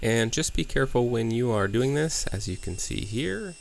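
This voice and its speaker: A man's voice: mid-range voice, sing-song, moderate pace, gravelly, a little hiss or hum. A man giving a casual, plain-spoken how-to voice-over, explaining step by step as a maker hosting his own video. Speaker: woodworking maker and host.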